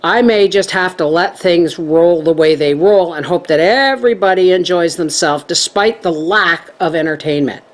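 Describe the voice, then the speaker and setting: A middle-aged woman chatting to her viewers as a homestead vlogger, telling stories in a lively way. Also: fairly low pitch, normal speed, few pauses. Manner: chatty, animated storytelling. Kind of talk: casual chat to viewers.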